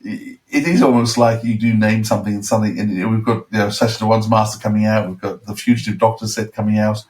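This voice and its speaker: A man's voice: lowish voice, steady tone, a little mellow. Slightly distant-sounding man chatting casually. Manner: rambling, halting with repeated words. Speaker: podcast panellist discussing audio dramas. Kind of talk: casual chat.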